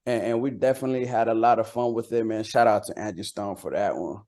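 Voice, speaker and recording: A man's voice: lowish voice, steady tone, fast talker, dark tone. A man from Costa Rica, a native Spanish speaker, chatting casually as an interviewed rapper, slangy and repeating words for emphasis.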